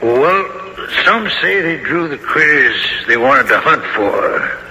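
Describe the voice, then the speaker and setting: A slow, folksy old backwoodsman. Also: on the high side, animated, sharp tone, heavily acted. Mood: folksy, curious. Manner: folksy rustic speech. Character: gentle, wistful old backwoods man of about sixty. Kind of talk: 1970s radio drama acting. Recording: 1970s radio, cave-like reverb, faint noise.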